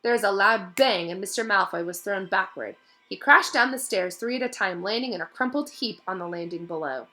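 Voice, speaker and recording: A woman reading a storybook aloud as narrator, with expressive delivery. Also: mid-range voice, fairly quick.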